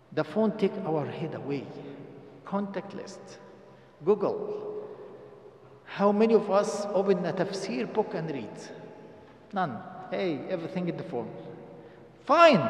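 An older man giving a Friday sermon in a mosque at a stand microphone, earnest and exhorting, in non-native English with Arabic phrases mixed in. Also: high voice. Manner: earnest, exhorting, rising to emphatic stress, asks the congregation questions. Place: mosque prayer hall, indoors. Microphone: stand microphone at a lectern. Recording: echoey mosque hall.

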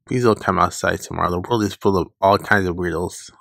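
Male comic reader, informal and casual, gravelly and husky delivery, recorded dry and close. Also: deep voice, fairly quick, a bit bright, dry recording. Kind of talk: reading webcomic dialogue aloud with casual reactions.

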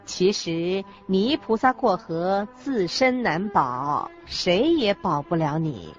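A solemn Buddhist explainer speaks slowly and emphatically over soft music in a radio drama. Her intonation is lively, and the recording is slightly dull. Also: on the low side. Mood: solemn, emphatic. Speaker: co-presenter in a radio lecture drama. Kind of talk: scripted radio drama dialogue, expository. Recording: dull lo-fi audio with background music.

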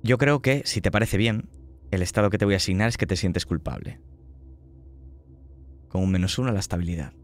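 A man narrating a horror tabletop game as game master, slow and hushed, voicing small sound effects. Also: sits low.

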